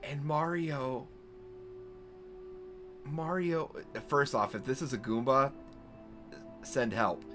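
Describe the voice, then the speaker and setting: Male game streamer, unhurried and halting, incredulous joker, faint music and typing behind. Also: mid-range voice. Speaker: streamer playing an AI storybook game. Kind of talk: casual playthrough commentary.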